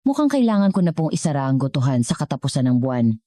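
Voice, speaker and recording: Woman narrator as older Filipina cook, humble, worried, respectful, very low mellow voice, audio story. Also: very animated, fast, no echo, clean studio sound. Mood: worried, polite.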